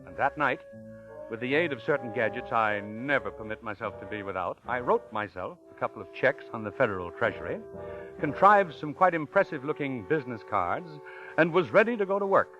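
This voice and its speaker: A man's voice: medium pitch, expressive, brisk, music in the background, natural voice. Man playing a pompous, theatrical old-radio con man. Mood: sly, self-satisfied. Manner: pompous, wordy, theatrical delivery. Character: smooth-talking male con man posing as a learned professor. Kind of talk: 1940s radio drama acting with narration. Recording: muffled old radio broadcast.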